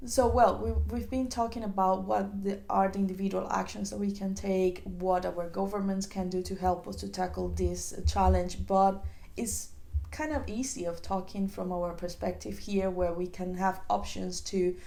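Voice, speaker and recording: Woman podcast co-host with a creaky voice. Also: mid-range voice, fairly even intonation, normal speed, dark tone. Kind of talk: conversational podcast discussion.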